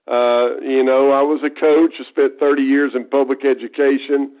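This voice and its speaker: A folksy man in his fifties with an East Texas drawl speaks with earnest conviction, often circling back to restart a phrase. His voice sits at an ordinary middle pitch for a man. It comes through a thin, tinny phone line. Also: little pitch movement, normal speed. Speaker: guest speaker. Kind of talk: conference call talk. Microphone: telephone.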